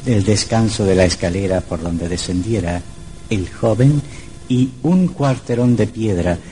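A man's voice: lowish voice, measured pace, warm tone, slight background noise. A man narrating a scripted old radio horror drama in a dramatic, suspenseful manner.

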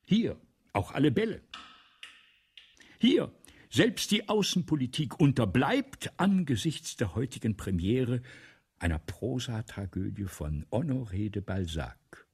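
An actor playing a vain, excitable playwright in a German radio play, with a pompous, theatrical, lecturing manner. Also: male voice, medium pitch, a little slow, breathy edge, heavily acted. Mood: excited, proud. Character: vain, excitable, self-dramatizing 19th-century male playwright.

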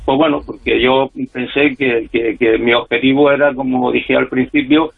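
A man's voice: medium pitch, fairly even intonation, normal speed, vocal fry, slightly rough. An older man, a former freelance spy, tells his story on a radio interview at length, with many fillers and restarts. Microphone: telephone call. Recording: tinny phone line.

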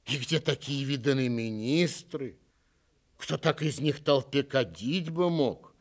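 A man's voice: medium pitch, animated, slow pace. A man voicing a skeptical, self-righteous critic, fussy and indignant, in theatrical verse recitation. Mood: disapproving. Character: skeptical, disapproving, self-righteous reader grumbling objections.

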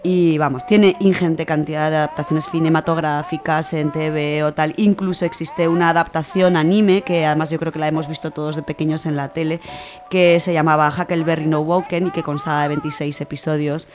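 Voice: medium pitch, fairly even intonation, fairly quick. Book reviewer from Spain over music. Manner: conversational, explanatory, colloquial. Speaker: book review contributor on a radio show. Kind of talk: informal spoken book review. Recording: music under the voice.

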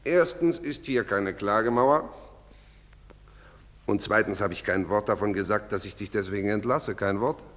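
An actor playing a patronizing, scheming master carpenter in a radio drama. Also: male voice, on the low side, fairly bright tone, natural voice. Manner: slow, patronizing, bargaining. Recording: long hall reverb.

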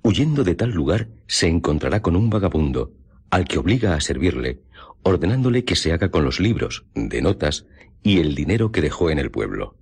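A man with a Castilian Spanish accent narrating a scripted story for radio in measured, suspenseful phrases, his voice husky. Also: big pitch swings, a little mellow. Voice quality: deep, husky.

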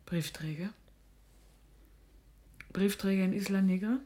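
An actress as a middle-aged mother in a radio play. She is sardonic and suspicious, questioning like a stern interrogator. Her voice sits at an average pitch for a woman. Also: a little slow, warm tone, a little hiss or hum, lightly acted.

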